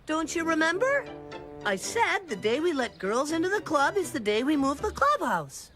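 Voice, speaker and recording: A woman's voice: high voice, very animated, normal speed. A woman voicing Tubby, a chubby, boastful boy who leads a club and talks in a scheming, bossy way. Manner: scheming, bossy, pleased with himself. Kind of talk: cartoon voice acting. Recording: studio voice over loud cartoon music.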